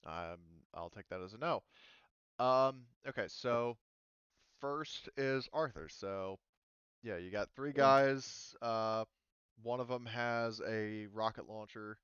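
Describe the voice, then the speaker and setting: A man's voice: medium pitch, takes it slowly, a bit bright, clear, resonant tone, no echo, dull audio. A man running a tabletop game as game master, talking casually and thinking aloud as he checks the rules.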